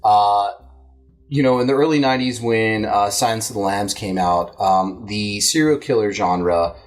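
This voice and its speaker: A man's voice: fairly low pitch, measured pace, soft background music. A man hosting a podcast, chatting casually and swearing freely in a rambling, informal way. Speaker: podcast host.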